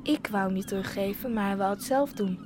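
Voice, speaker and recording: A woman's voice: medium pitch, fairly quick, noisy background, close to an everyday voice. A woman voicing a practical, inquisitive young girl in a radio play. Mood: probing. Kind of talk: radio drama acting.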